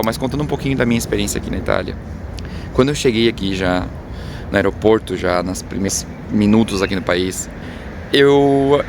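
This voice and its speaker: A man with a Brazilian accent chatting casually to viewers as a travel vlogger, in a hesitant, rambling way. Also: medium pitch. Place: outdoors on a city street. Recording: loud traffic and street noise.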